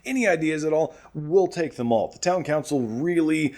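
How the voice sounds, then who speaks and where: A man's voice: on the high side, animated, moderate pace, darkish tone, natural voice. A man playing an earnest, chatty small-town radio host in a post-apocalyptic audio drama, rambling casually with hesitant asides. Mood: earnest pleading.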